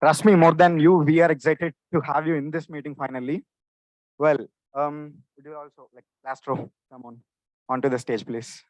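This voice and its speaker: Young guy hosting a club meeting, kinda high voice, dry sound, chatty and encouraging. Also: steady tone, moderate pace, a little mellow, dry recording, poor audio. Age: young adult. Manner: friendly, encouraging, casual fillers. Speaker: meeting host.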